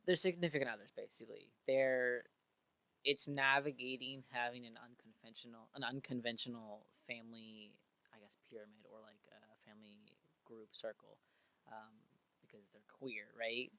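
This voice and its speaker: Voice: mid-range voice, steady tone, moderate pace, sharp tone, rough recording. A man about to turn twenty-one co-hosting a podcast in a small studio, talking casually and playfully, often checking in with "right?". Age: 20s. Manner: playful, ends points with "right?". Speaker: podcast co-host. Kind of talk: casual chat. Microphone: studio mic on stand.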